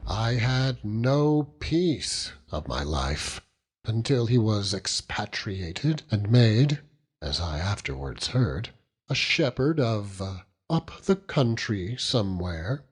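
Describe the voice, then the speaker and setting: Expressive male narrator, slow and slightly husky. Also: lowish voice. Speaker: audiobook narrator. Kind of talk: dramatic literary narration.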